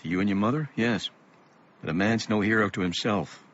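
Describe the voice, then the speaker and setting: An actor playing a weary, gentle middle-aged father with a measured, melancholy, reflective way of talking in a radio drama. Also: fairly low pitch, lightly acted. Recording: muffled, noisy radio play recording.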